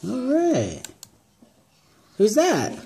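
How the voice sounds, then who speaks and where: A parent talking in a small room at home, with a mellow voice that rises and falls a great deal. The pace is slow and patient, and the speaker asks simple questions and repeats the child's answers back. The talk is close to a handheld camera. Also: mid-range voice, wildly swooping pitch, slight background noise. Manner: gentle, asks simple questions, echoes the child's words, laughs softly. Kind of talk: home video, casual talk with a toddler.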